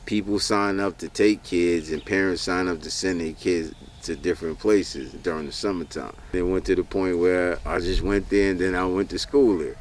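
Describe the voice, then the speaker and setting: A farmer telling his life story in a relaxed, casual way, with a laugh now and then. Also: deep voice, normal speed, few pauses, a little hiss or hum. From New Hampshire.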